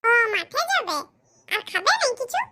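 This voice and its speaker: A performer voicing a fussing, affectionate village mother in a comedy cartoon, with a pitched-up voice. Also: very animated, moderate pace, sharp tone, exaggerated voice. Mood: fond. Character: fussing, affectionate middle-aged village mother, plain-spoken cartoon character.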